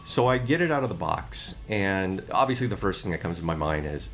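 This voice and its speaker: A man's voice: low-pitched, animated, brisk, vocal fry, fairly bright tone. A middle-aged man talking casually about his music gear, chatty and a bit rambling.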